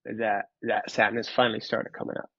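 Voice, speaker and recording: Halting man, dull close recording. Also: lowish voice, little pitch movement, vocal fry, no room sound. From Finland. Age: 30s. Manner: halting, repeats words and pauses often; candid, casually profane. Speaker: podcast guest. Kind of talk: reflective personal storytelling in an interview.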